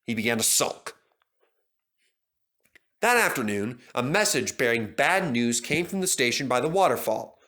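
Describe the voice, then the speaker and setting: Man, story narrator, mid-pitched, animated intonation, scripted reading for children. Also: normal speed, long pauses.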